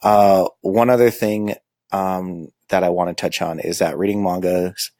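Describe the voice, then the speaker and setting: A man giving an informal lecture on manga, halting often with ums and uhs. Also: low voice, fairly even intonation, a little mellow, no echo. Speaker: school librarian teaching manga basics.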